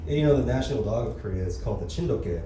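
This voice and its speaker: A man's voice: medium pitch, expressive, very fast. A young man doing open mic stand-up, crude and casual, tagging lines with "you know" and "right". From Los Angeles area. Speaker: stand-up comedian. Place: comedy club stage, heard from far off. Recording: echoey room, distant mic, audible noise.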